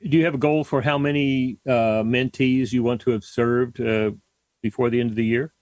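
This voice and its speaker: A man's voice: medium pitch, fairly even intonation, moderate pace, darkish tone, dry recording. A man hosting a talk radio interview, encouraging his guest and stumbling into restarts. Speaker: radio talk show host. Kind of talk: interview host.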